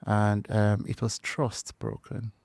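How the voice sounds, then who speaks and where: Nigerian man in his thirties or forties, lowish voice, reflective and measured, dry studio sound with faint music. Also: monotone, a little mellow, no room sound. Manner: thoughtful, hesitant, many fillers and restarts. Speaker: podcast guest, structural engineer. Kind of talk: conversational interview.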